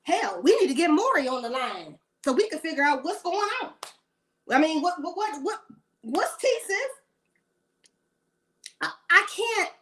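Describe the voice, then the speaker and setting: A woman's voice: sits high, a bit bright. A woman in her late thirties giving blunt, sassy celebrity gossip commentary in a rambling rant. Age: 30s.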